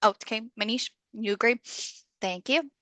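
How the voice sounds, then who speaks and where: A woman hosting an online community call, friendly and full of okays, slightly hoarse as she loses her voice. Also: on the high side, moderate pace, bright, forceful tone, no room sound. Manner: friendly facilitator, many okays. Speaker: meeting host. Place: video call.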